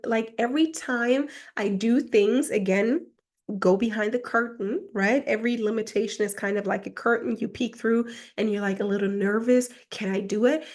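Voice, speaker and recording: A woman's voice: medium pitch, a little mellow. Woman from Germany, casual vlog mentor, chatty and reflective, mid-height voice, heavy on "like". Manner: chatty, reflective, fills speech with "like". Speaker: personal mentor. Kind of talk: casual personal vlog monologue.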